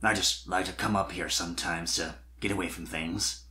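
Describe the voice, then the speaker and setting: Narrator acting as male dragon, subdued, hesitant, gentle, gloomy, awkward, storybook character. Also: deep voice, fairly even intonation, normal speed, voice pushed down.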